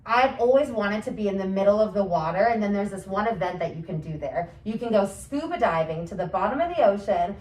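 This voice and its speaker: A woman's voice: mid-range voice, lively intonation, a little fast, hardly any pauses, darkish tone. A young woman from New Jersey, a guest in a casual interview, who talks in an earnest, reflective way. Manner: reflective, earnest, often answers with "right". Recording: echoey room, mic at a distance.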